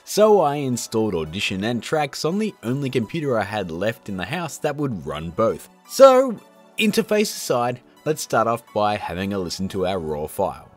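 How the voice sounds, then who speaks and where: A man's voice: fairly low pitch, huge pitch swings, moderate pace, faint music behind. A man in his thirties presenting a casual tutorial in an informal, jokey, chatty manner. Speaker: host of an effects tutorial channel.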